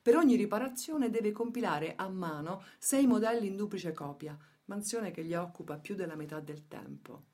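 A woman's voice: mid-range voice, expressive, normal speed, hardly any pauses, warm tone. A woman reading scripted dramatic narration for a radio theatre piece.

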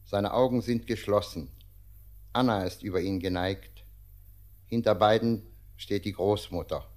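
A man reading scripted narration for a radio drama in a measured, deliberate way. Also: lowish voice, darkish tone.

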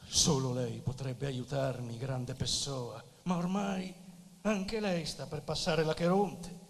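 An actor playing a grandiose, bearded old pagan philosopher in an asylum, declaiming in solemn, archaic phrases on stage. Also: male voice, mid-range voice, fairly even intonation, slow, slight background noise. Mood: earnest, plaintive. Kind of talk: theatrical stage drama. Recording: reverberant theatre-like hall sound.

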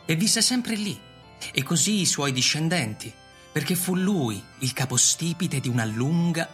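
A man's voice: a little high, expressive, a little slow, fairly bright tone. A man narrating a scripted radio drama as a storyteller. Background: music.

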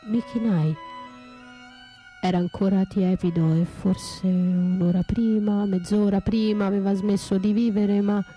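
An actress playing an anguished, bitterly lyrical battered wife left for dead, in an intimate, halting radio theatre monologue that drifts into whispers. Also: female voice, medium pitch, warm tone, lightly acted. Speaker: stage and radio actress performing her own monologue. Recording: roomy echo, faint music behind.

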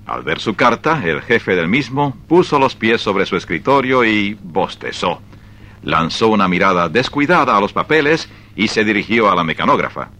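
A man's voice: lowish voice, expressive, normal speed, bright, forceful tone. A man narrating a scripted radio drama as its storyteller.

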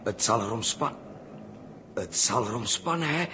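A man's voice: lowish voice, lively intonation, measured pace. An actor playing an agitated, bewildered man, indignant and accusing, with stammered repeats in 1960s radio drama style. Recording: noisy early 1960s radio recording.

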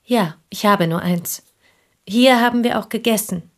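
An actress playing a reserved provincial piano teacher and confidante in a German radio play, speaking with courteous restraint. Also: female voice, mid-range voice, a little slow, natural voice. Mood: restrained.

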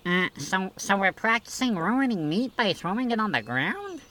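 Guy playing a clueless obedient janitor, high swoopy voice, hesitant, comedy skit. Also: big pitch swings, moderate pace, pushed and bright, heavily acted. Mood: puzzled. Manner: hesitant, questioning. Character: naive, puzzled, obedient male janitor learning grilling. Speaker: comic sidekick.

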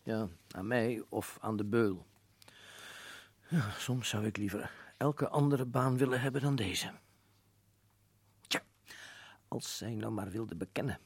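A man's voice: fairly low pitch, slow, long pauses, slightly rough, no echo, exaggerated voice. A man playing a firm, matter-of-fact older prison governor in a radio play. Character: stern older male prison governor, a colonel.

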